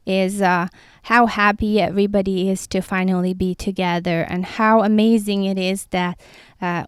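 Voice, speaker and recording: Chatty woman rambling with a crisp, smooth voice. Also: mid-range voice, no room sound, clean studio sound. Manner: rambling, goes off on tangents. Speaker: podcast host. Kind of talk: casual solo talk. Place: outdoors by the sea.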